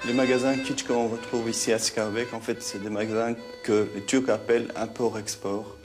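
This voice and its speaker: A man speaking in a measured, explanatory way in a documentary interview, often repeating words as he goes. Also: mid-range voice, little pitch movement. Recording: music playing under the voice.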